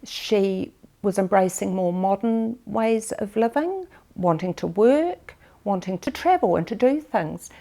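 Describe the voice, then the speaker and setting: A New Zealand woman in her fifties or sixties, recounting her family memories for a documentary interview in a measured, reflective way with pauses. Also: mid-range voice, expressive, warm tone. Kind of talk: personal family memoir.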